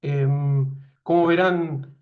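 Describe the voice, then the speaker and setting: A man's voice: fairly high pitch, steady tone, extremely slow, narrow, tinny audio. A man in his forties from Argentina with an Argentine accent, giving a veterinary imaging lecture over a video call, hesitant with many fillers and restarts. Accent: Argentine Spanish. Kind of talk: webinar lecture.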